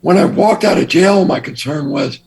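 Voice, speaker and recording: An older man with a raspy voice, a guest telling his past story in a measured, deliberate way. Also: a little high, animated, a little mellow. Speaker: interview guest. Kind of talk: recounting his past in an interview.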